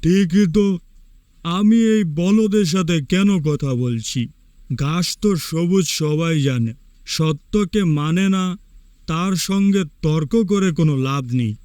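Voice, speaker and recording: A man's voice: fairly high pitch, slow pace, a little mellow, clean tone, dry recording, clean studio sound. A man playing a proud, indignant tiger in a cartoon fable, scolding with emphasis. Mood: dismissive. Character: proud, indignant male tiger, wise and powerful.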